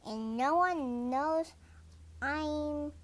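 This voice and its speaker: Young reader playing excitable ice cream shop man. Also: sits high, very slow, slight background noise, pitched above the performer's own voice, heavily acted. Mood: busy, matter-of-fact. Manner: yelling, scolding. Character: ice cream shop owner man, busy and quick to anger.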